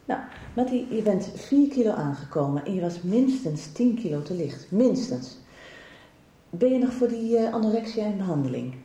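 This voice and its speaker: A woman acting a calm, frank doctor in a radio soap. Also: mid-range voice, normal speed, lightly acted. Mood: frank, firm. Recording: echoey room, faint noise.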